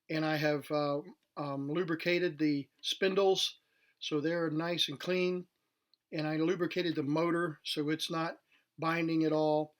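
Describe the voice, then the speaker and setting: A man's voice: fairly high pitch, a bit bright. A man explaining and demonstrating equipment casually, in a plain, somewhat hesitant, explanatory way. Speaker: vintage tech demonstrator. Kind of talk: casual unscripted product demonstration.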